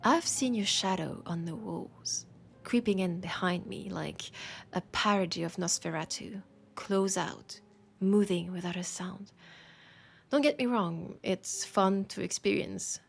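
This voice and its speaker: A woman with a French accent playing a teasing, curious bookshop keeper in an intimate audio roleplay, speaking softly and musingly and often slipping toward a whisper. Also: mid-range voice, unhurried, gravelly, husky. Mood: teasing, wry. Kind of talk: intimate audio roleplay, soft acted monologue.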